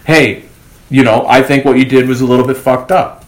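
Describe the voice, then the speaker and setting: A man holds forth like a podcast host in casual discussion. His delivery is emphatic and sardonic at a middling pitch, and the room adds noticeable echo. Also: steady tone, fairly quick. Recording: roomy, echoing podcast recording.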